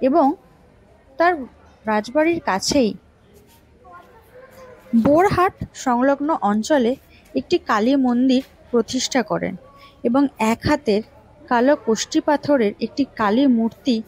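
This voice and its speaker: A woman, narrating local history as a scripted story in measured phrases. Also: high voice, a little mellow, highs cut off. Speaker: vlog host and narrator.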